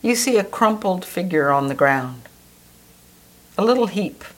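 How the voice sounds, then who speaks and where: A woman guiding a meditation in a soft, gentle, soothing way. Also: lowish voice, lively intonation, measured pace. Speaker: meditation guide. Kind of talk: guided meditation.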